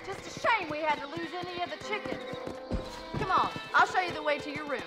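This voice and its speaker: High-voiced, spirited Western ranch woman. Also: a little fast, over loud music. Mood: warm, teasing. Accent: American Western. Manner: folksy, teasing. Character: spirited young ranch woman, tough, wry, friendly. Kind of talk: video game Western voice acting.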